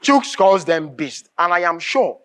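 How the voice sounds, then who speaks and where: A woman acting an earnest political thinker and confidante in a live stage play, speaking deliberately and emphatically. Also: fairly low pitch, big pitch swings, fairly bright tone. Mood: indignant.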